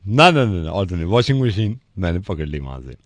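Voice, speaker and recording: A man making a prank phone call, telling a rambling story insistently and repetitively in a mock-earnest deadpan way. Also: low-pitched, a little fast, no room sound, clean studio sound. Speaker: radio prank caller.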